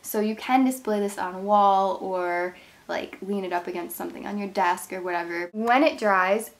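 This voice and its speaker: A woman's voice: medium pitch, normal speed. Brief: young woman, congested cold voice, chatty and rambling craft vlogger, camera mic in a bedroom. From Ohio, USA. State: stuffed up, nasal from a cold. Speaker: craft channel host. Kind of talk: casual product review vlog. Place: bedroom, indoors.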